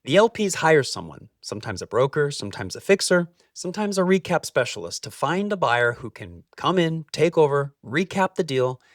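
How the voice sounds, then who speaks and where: A man hosting a scripted explainer, speaking straight to the listener in punchy, emphatic short phrases with deliberate pauses. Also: medium pitch, no room sound, clean studio sound, distant mic. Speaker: host, capital-raising adviser.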